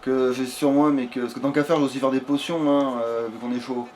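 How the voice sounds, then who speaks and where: A man's voice: medium pitch, flat delivery, fast talker, darkish tone. A man giving casual, improvised video game commentary in a crude, swear-heavy way. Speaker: let's player. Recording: clearly noisy background.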